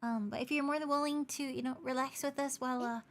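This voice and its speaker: Young female streamer, hoarse from overuse, raised pitch, chatty and upbeat. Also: fairly even intonation, quick pace. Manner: bubbly and chatty, greets viewers, frequent giggles. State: hoarse, losing her voice. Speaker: VTuber streamer. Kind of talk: casual livestream chat, switching between English and Japanese.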